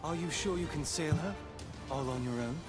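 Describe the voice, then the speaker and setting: A man's voice: fairly high pitch, normal speed, lightly acted. An actor playing an earnest, urgent young man in a video game cutscene, speaking rather formally. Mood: earnest, hurried. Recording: game audio with music behind.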